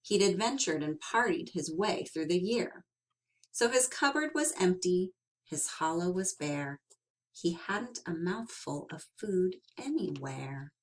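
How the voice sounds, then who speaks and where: A woman reading a children's picture book aloud as an expressive storyteller, with dramatic emphasis and drawn-out words. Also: on the low side, slight background noise.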